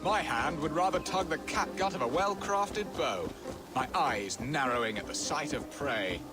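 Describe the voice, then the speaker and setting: An actor playing a self-pitying, romantic Saxon lord in a video game, with a theatrical, wistful and dithering manner. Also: male voice, a little high, expressive, a little slow, music in the background. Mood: dreamy, grandiose. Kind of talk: video game drama acting.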